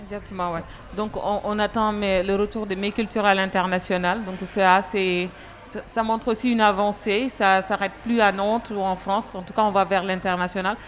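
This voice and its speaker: A woman co-hosts a casual panel chat, readily agreeing with the others and adding her own points. Her pitch sits in the middle, and faint room ambience hums behind her. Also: fairly even intonation, slight background noise. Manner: agreeable, quick to back others up. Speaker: co-host.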